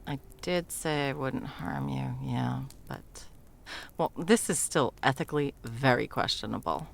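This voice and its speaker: A woman's voice: extremely low pitch, wildly swooping pitch, measured pace, creaky, a little hiss or hum, heavily acted. A woman playing a bumbling, flustered female Christmas elf in a whimsical comedic audio roleplay, evasive and sarcastic, rambling and backtracking as she goes. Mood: indignant.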